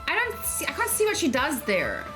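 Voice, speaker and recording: A woman with a slightly high voice chats as a livestream host. She thinks aloud in a loose, hesitant way, often rephrasing herself as she gives her reactions to viewers. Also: huge pitch swings, normal speed, over music, poor audio. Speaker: streamer host. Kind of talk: casual livestream reaction and discussion.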